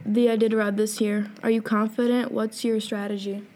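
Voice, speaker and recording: A young woman asking prepared interview questions in a soft-spoken, scripted way. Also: mid-range voice, flat delivery, a little fast.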